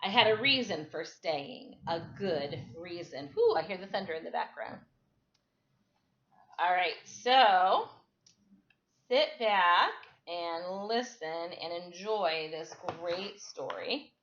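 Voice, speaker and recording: Bright-voiced woman reading in an echoey room. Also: lowish voice, animated, slow, slight background noise. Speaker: storytime reader. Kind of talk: casual storybook read-aloud for children. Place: indoors at home, kitchen and another room. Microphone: camera microphone. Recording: echoey room, slightly dull camera audio.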